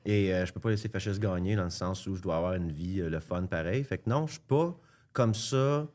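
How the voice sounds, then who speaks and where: Franco-ontarian guy, lowish voice, lots of euhs and restarts, laid back chat. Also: a little mellow. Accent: Franco-Ontarian French. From Ontario, Canada. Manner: halting, many fillers and restarts. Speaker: podcast guest, comedian. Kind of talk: casual chat.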